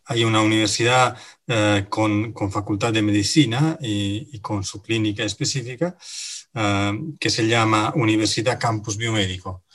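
Hombre con acento italiano, titubeante. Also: male voice, fairly low pitch, fairly even intonation, normal speed, a bit bright. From Italy. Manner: hesitant, many fillers and restarts. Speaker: guest, finance director. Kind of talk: radio interview.

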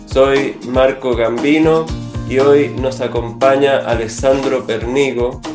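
A man's voice: medium pitch, steady tone, slow pace, roomy sound, music in the background. A man hosting a casual radio interview, asking friendly questions and mixing in Italian greetings.

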